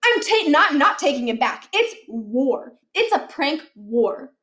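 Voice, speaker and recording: A cocky young prankster from a children's storybook, acted by a woman. The voice is high and emphatic, bragging with hot-headed indignation. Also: sing-song, slow, a bit bright, full character voice. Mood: defiant.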